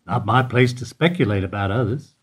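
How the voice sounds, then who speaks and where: An actor playing a weary bank security guard who gives dry, evasive answers in a radio play. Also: male voice, on the low side, fairly quick, husky. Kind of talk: amateur radio play acting.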